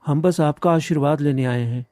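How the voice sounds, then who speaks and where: A man's voice: on the high side, moderate pace, darkish tone, no room sound, clean studio sound, close to an everyday voice. A man playing a devoted young village bridegroom, earnest, respectful and pleading, in a cartoon story. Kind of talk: Hindi animated moral story dialogue.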